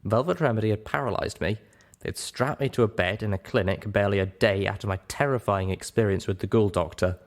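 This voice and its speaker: A man narrating a story in the first person with an expressive, theatrical, dramatic delivery. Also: on the low side, brisk. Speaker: audiobook narrator.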